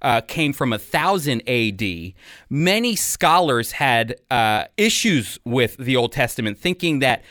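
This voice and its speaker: Male radio host for conversational interview, medium pitch, very dry studio sound. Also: very animated, measured pace, fairly bright tone, no room sound.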